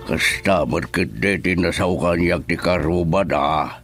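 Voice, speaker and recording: A low-voiced Ilocano father. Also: measured pace, a bit bright, soft background music. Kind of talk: radio drama acting.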